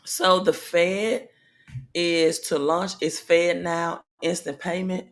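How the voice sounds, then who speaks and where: A woman with a slightly raspy, lowish voice hosts a casual livestream, speaking directly to her viewers. She talks very slowly, pausing often and leaning on fillers as she works through the news. Her delivery is halting and conversational rather than scripted. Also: little pitch movement. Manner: slow, halting delivery with many pauses and fillers. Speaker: livestream host.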